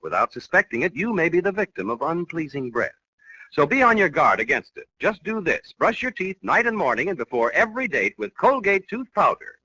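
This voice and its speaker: A man's voice: fairly high pitch, very animated, fairly quick, sharp tone, dry recording. A man with an American accent reading a 1940s radio commercial in an emphatic, persuasive way. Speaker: commercial announcer. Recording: dull old radio recording, dry.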